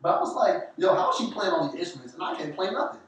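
A young man talks with a Southern lilt mixed with Jamaican, animated and full of slang, his pitch sitting a bit high. He sounds set back from the microphone in a strongly echoing room with a faint hiss behind him. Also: quick pace. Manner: animated, laughing, lots of slang and fillers. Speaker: podcast co-host. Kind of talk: casual chat. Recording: echoey room, distant mic, faint hiss.

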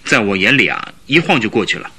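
A man's voice: fairly low pitch, big pitch swings, quick pace, sharp tone, husky, full character voice. A man in a Chinese radio drama playing a shaken young witness, uneasy and plaintive as he answers. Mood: uneasy, recollecting.

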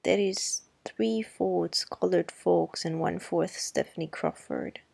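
A woman reading the part of a wry, sharp-tongued middle-aged neighbor, speaking slowly, dryly and grimly. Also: on the low side, fairly even intonation, gravelly, husky, no room sound, exaggerated voice. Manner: slow, dry and grim. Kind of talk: audiobook character reading.

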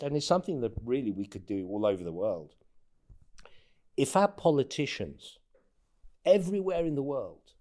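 Guy with a lowish voice chatting in an interview, kinda halting, repeats words a lot. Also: a little mellow. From born in North Africa, has lived in England and the United States. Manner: halting, stammered repetitions of words. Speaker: interview guest, former presidential candidate. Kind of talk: casual interview, telling campaign anecdotes.

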